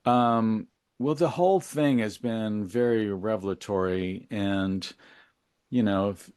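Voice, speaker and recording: Male interview guest, middle-aged. Low voice, dry sound, thoughtful conversational delivery that halts as he searches for words. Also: darkish tone, clean tone, dry recording. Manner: musing, halting, colloquial. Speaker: interview guest, musician and memoir author.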